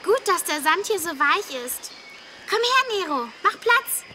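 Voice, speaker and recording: An easygoing young adventurer from a children's audio play. The voice is very high and bright, with faint noise behind it. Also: female voice, very animated, unhurried, exaggerated voice. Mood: relaxed. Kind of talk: German children's audio drama acting.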